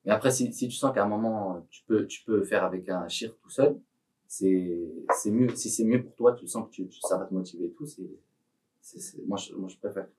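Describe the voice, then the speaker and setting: A man's voice: fairly low pitch, moderate pace, a little mellow. A young teenage boy, a podcast guest, talking hesitantly with many restarts and fillers. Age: young teen. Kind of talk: casual podcast interview. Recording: voice from a distance.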